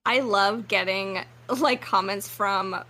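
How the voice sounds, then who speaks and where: A woman's voice: mid-range voice, takes it slowly, pushed and bright. A woman chatting casually as a podcast co-host.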